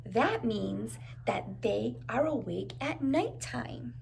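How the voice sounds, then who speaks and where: A woman's voice: on the high side, animated, slow pace, creaky, a little mellow, slight background noise. A woman reading a storybook aloud to young children like a preschool teacher, adding encouraging asides and simple questions.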